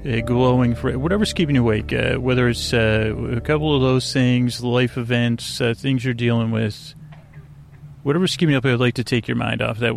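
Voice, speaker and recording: A man hosting a bedtime podcast in an improvised, meandering monologue, hesitant and halting, full of false starts and digressions. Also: medium pitch, vocal fry. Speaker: podcast host. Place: outdoors at night.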